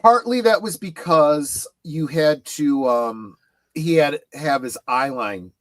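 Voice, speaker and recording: A man's voice: fairly high pitch, animated, slow, no echo. Hesitant man explaining, close dry sound. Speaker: panel guest. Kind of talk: casual explanatory fan discussion.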